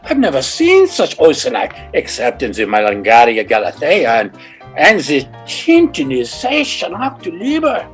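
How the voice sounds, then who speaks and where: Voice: mid-range voice, wildly swooping pitch, moderate pace, soft background music. A performer playing an absorbed, fussy scientist with a muttering clinical manner in an old-time radio comedy.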